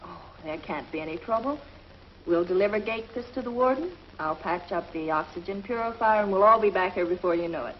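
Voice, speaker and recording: A woman's voice: mid-range voice, a little fast, close to an everyday voice. An actress playing a confident young female scientist in a 1950s TV drama, breezy and reassuring. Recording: dull lo-fi 1950s TV audio with hiss.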